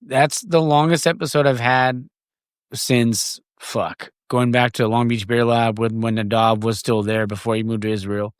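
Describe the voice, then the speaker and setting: A man hosting a podcast in casual conversation, loose, profane and quick to laugh. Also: mid-range voice, steady tone, smooth, no echo, studio quality. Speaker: podcast host.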